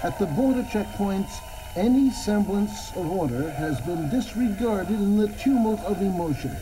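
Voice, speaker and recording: A man delivering dramatic, excited scripted narration in an archival documentary. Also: high voice, normal speed. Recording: noisy archival documentary soundtrack.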